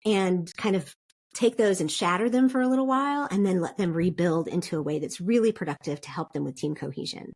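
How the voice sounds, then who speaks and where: A woman's voice: fairly low pitch, a little fast, a little mellow. A middle-aged woman talking as a podcast guest, chatting casually and laughing often while she explains with examples. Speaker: podcast guest, DISC consultant. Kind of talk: casual interview chat.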